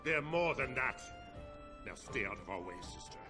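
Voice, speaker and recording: A man's voice: medium pitch, animated, moderate pace, close to an everyday voice. A man playing a sneering, swooping soldier villain. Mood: smug, threatening. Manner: sneering, threatening. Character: menacing, smug soldier villain. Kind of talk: fantasy game voice acting. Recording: loud music under the voice.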